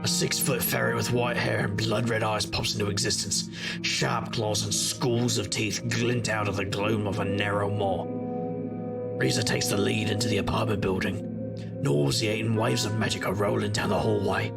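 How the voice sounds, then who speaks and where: A man's voice: on the low side, steady tone, moderate pace, gravelly, over loud music. A man playing a wry, brooding middle-aged magician detective, narrating an audio drama in first person.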